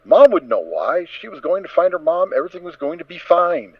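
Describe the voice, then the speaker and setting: A man narrating a story as a dramatic reading, in an expressive, theatrical manner. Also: fairly high pitch, a little fast, no echo. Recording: slightly dull, dry close recording.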